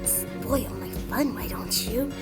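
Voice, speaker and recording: Malicious cartoonish villainess, giggly, taunting, slow drawl, piercingly high, audio drama, loud music behind. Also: female voice. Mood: spiteful, grudging. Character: gleefully malicious female animal criminal witness, mocking and giggly.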